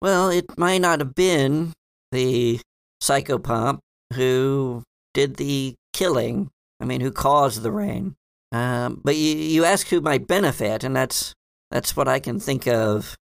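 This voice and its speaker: Man playing a halting, creaky-voiced scholarly librarian woman. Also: medium pitch, dry recording, voice pushed up. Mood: explanatory. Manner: halting, formal, professorial phrasing. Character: scholarly, hesitant female librarian, musing and proper. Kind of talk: tabletop roleplay in character.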